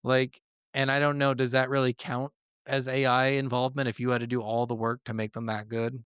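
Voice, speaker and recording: A man with a medium-pitched, resonant voice gives his opinions in relaxed gaming podcast chat. He is blunt and skeptical and swears easily. The recording has almost no room sound. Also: a little fast, clear, resonant tone, no room sound, clean studio sound.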